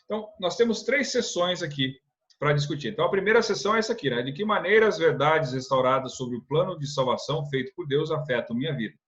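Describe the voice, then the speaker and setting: A man's voice: fairly high pitch, animated, fast talker, fairly bright tone, highs cut off. Earnest man teaching a church class. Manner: earnest, rhetorical questions with frequent "né?". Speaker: religious instructor.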